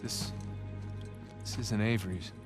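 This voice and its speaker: A man's voice: on the low side, steady tone, takes it slowly. A man acting as a curious, wry treasure hunter in a video game, with casual, dry banter. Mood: puzzled, intrigued. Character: wry, curious adult male treasure hunter, younger brother. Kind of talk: naturalistic cinematic game dialogue. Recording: cinematic music behind dialogue.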